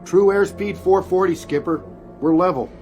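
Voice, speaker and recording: An actor playing an eager, dutiful airline co-pilot in a radio drama. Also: male voice, high voice, moderate pace, darkish tone, soft background music, natural voice. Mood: puzzled, attentive.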